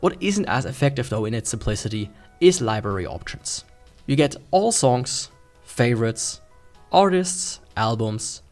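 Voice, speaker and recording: A man's voice: medium pitch, a little mellow. A man gives a scripted tech review in an explanatory, plain-spoken way.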